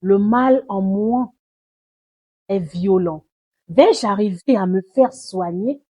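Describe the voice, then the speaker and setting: Slow, husky woman reciting poetry. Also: mid-range voice. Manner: solemn, mournful, deliberate phrasing with swells and hushes. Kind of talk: dramatic spoken-word poetry recitation.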